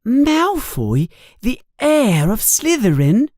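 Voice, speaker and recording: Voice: mid-range voice, sing-song, takes it slowly, darkish tone, no room sound, clean studio sound, pitched above the performer's own voice. A narrator voicing an earnest, bossy, inquisitive schoolgirl in a storybook, with an emphatic, questioning manner. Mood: puzzled, incredulous. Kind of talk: storybook character voice.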